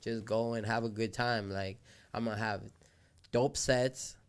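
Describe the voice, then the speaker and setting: A young man from Colombia, Spanish his first language, talking through his story as a podcast guest. His voice is smooth and clear, and he drifts along in relaxed slang with plenty of "you feel me.". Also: on the low side, unhurried. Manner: laid-back slangy storytelling, often says "you feel me". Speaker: guest, rapper and event organizer. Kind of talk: casual podcast interview.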